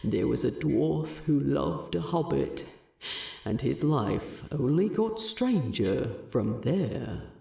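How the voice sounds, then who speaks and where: A gravelly, slow-spoken dwarf king, played by a woman narrator, weary and homesick but resolute. The voice is low and grave, each phrase set down deliberately, as in a storybook reading. The sound is close and dry. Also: big pitch swings, dark tone, no echo, heavily acted. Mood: wistful. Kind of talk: audiobook storytelling.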